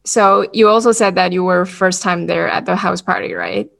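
A woman's voice: lowish voice, fairly even intonation, normal speed, creaky, a bit bright. A woman co-hosting a podcast, talking casually with many likes and ums. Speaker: podcast co-host.

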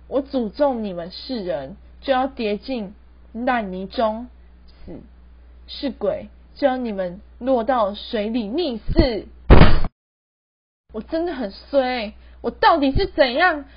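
A woman's voice: fairly high pitch, slow, husky. A teenage girl with a Taiwanese Mandarin accent playing a wronged, emotional young ghost daughter in an amateur radio drama. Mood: indignant, complaining. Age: teens. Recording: dull lo-fi student recording.

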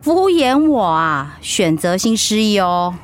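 An actress with a Taiwanese accent playing an upbeat, teasing wife in recovery from illness in a radio drama, playful and reassuring. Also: female voice, medium pitch, big pitch swings, takes it slowly. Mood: teasing, fussing. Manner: playful, reassuring, chiding her husband. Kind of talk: Mandarin radio drama acting.

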